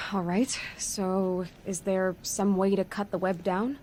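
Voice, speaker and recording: A woman's voice: medium pitch, level tone, takes it slowly, slight background noise, close to an everyday voice. An actress playing a determined young woman adventurer in a video game, dry and blunt in her delivery. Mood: impatient. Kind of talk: video game voice acting, conversational dialogue.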